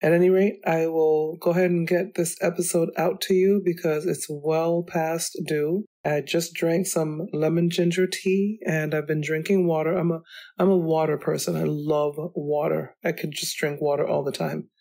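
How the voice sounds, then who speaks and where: A woman hosting her own podcast, talking alone in a chatty, rambling way, her voice still slightly hoarse from illness. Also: sits low, flat delivery, normal speed, warm tone. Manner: chatty, rambling, goes off on tangents. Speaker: podcast host. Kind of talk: solo podcast monologue. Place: home.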